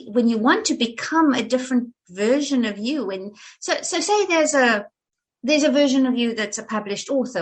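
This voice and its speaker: Animated South African woman, theatrical. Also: a little high, poor audio. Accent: South African with an English-sounding polish. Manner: animated and theatrical, with frequent restarts. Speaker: guest. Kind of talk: conversational interview.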